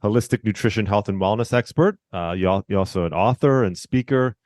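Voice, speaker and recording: A man hosting a podcast, welcoming his guest and asking questions in a friendly, relaxed way. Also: fairly low pitch, brisk, dry recording, studio quality. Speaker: podcast host. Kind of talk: casual interview hosting.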